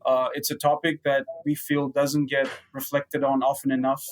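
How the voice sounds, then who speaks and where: A man's voice: mid-range voice, monotone, normal speed. A man hosting a business podcast as an investor, giving a prepared but conversational introduction. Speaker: podcast host, venture capital investor.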